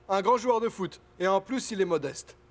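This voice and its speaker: A young cartoon footballer with a high voice. He is good-natured and lightly teasing with his teammates. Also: animated, unhurried, a bit bright, exaggerated voice. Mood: amused. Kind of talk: dubbed children's cartoon acting.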